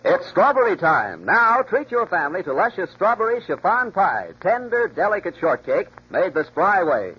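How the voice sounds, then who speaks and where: A man's voice: high-pitched, very animated, normal speed, breathy edge. A man reading a 1940s radio advertisement. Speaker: commercial announcer. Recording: dull old radio broadcast.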